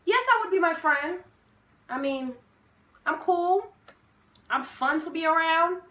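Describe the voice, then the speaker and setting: Bright, unhurried playful woman in her twenties. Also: extremely high pitch, roomy sound. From Haiti. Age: 20s. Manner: playful, self-assured, laughs often. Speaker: vlogger host. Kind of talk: casual sibling Q&A chat.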